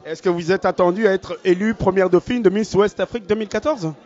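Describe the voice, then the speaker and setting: A man's voice: high-pitched, fast talker, a little mellow. A man interviewing guests at a beauty pageant gala for a web channel, upbeat and formal. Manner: upbeat, formal, repeats announcements. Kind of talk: live red-carpet interviews at a gala. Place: crowded gala event hall. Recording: muffled lo-fi event audio.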